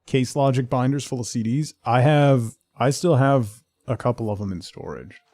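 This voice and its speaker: A man's voice: mid-range voice, normal speed, a little mellow, no echo, clean studio sound. A middle-aged man streaming a game, chatting casually with viewers and muttering to himself in between. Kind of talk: casual chat while playing a game, replying to viewers.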